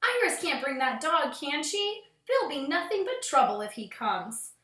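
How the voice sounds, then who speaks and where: A woman's voice: sits high, big pitch swings, moderate pace, sharp tone, recorded from a distance, voice pushed up, full character voice. A woman voicing an exasperated, eye-rolling big sister in a storybook, whiny and dramatic, recorded in a roomy space. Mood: whiny protest.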